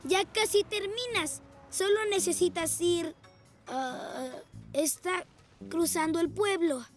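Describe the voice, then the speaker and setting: A woman's voice: very high-pitched, slow, soft background music. A woman voicing an eager, brave young boy rescue motorcycle in a children's cartoon, childlike and encouraging. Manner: encouraging, energetic. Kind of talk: animated children's cartoon dub.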